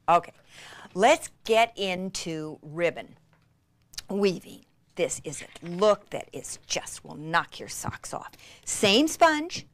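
A woman giving an excitable, gushing craft demonstration, with breathy exclamations and words repeated for emphasis. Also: fairly low pitch, slow pace, long pauses. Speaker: craft instructor demonstrating techniques. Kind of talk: enthusiastic hands-on craft demonstration.

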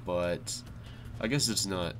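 A young man with a low, deep voice, talking casually over a video game while he is sick. His voice is stuffy and nasal, and he rambles with frequent fillers and restarts. Game music plays steadily behind him. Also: takes it slowly. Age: young adult. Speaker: YouTube gamer. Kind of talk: casual gameplay commentary. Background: game music and sounds.

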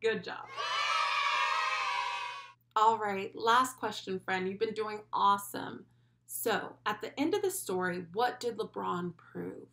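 A young woman hosting a children's lesson, speaking directly to the child with animated, encouraging praise. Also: medium pitch, takes it slowly, long pauses. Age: young adult. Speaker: children's read-along host and school speech therapist. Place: small furnished room at home, indoors.

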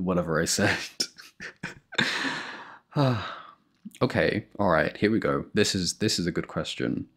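A young man speaks close to the mic in a low, gravelly voice that sits deep in his chest. He talks slowly and playfully, teasing and laughing softly, warm and affectionate like a boyfriend at bedtime. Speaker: boyfriend roleplay performer. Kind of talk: ASMR boyfriend roleplay.

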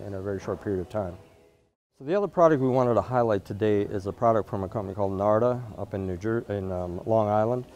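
Middle-aged guy doing a product demo, lowish relaxed voice, hesitant and explanatory. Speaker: product marketer demonstrating equipment. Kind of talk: unscripted product demonstration and interview answers. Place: indoor trade event interview spot.

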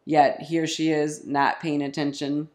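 Woman in her forties, slight rasp, relaxed couch vlog chat. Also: deep voice, a little slow. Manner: relaxed, chatty storyteller with ums. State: slightly raspy from a cold. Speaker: vlog co-host. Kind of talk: casual chat. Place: living room, on a couch. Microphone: camera in front.

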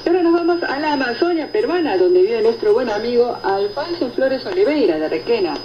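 A woman's voice: fairly high pitch, big pitch swings, fairly quick. Woman radio host on noisy shortwave. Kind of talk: reading and answering listener reports, conversational radio presenting. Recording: noisy shortwave radio reception, muffled, echoey. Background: loud static noise.

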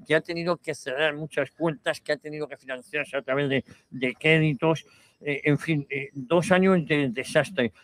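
A Spanish man hosts a radio interview from an outdoor terrace, his voice sitting a bit high. He stops and restarts often, padding his questions with fillers. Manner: halting, many fillers and restarts. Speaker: radio host interviewing candidates. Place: outdoor terrace, large round table. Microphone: desk microphone on stand.

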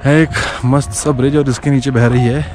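A man in his thirties talks casually into a camera he holds close, sounding a little out of breath as he walks through a snowy mountain valley. His voice sits mid-pitched, and he drifts between easygoing chat and quieter reflection. Clear ambient music plays underneath him. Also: fairly even intonation, fairly quick. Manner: chatty, mixes English into speech, reflective at times. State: out of breath from walking at altitude. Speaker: travel vlogger. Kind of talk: casual travel vlog narration. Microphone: action camera held close.

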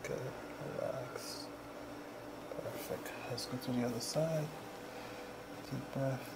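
Man, chiropractor with a gravelly edge. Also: mid-range voice, slow. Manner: calm, repetitive short cues. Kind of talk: soft-spoken instructions to a client during treatment. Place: small indoor treatment room. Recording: noisy room ambience.

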